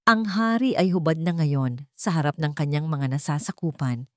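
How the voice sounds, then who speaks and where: A woman narrates a dramatic story at a steady, measured pace. Her voice sits low for a woman. The recording is close and dry, with no room echo. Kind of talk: scripted narration.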